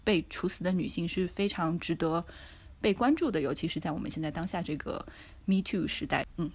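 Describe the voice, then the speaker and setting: A woman's voice: fairly low pitch, brisk, husky. A woman co-hosting a podcast, talking casually about books. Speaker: podcast co-host. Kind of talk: casual book discussion.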